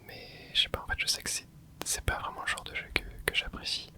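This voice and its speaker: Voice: fairly quick, sharp tone. A host whispering casual gameplay commentary, rambling and thinking aloud with many hesitations. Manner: casual, hesitant, frequent filler words. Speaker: gaming channel host.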